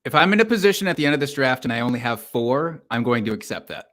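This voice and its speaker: A man's voice: medium pitch, lively intonation, quick pace, fairly bright tone. A man in his thirties with a nasal voice, a guest in a casual chat, joking in a dry, understated way. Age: 30s.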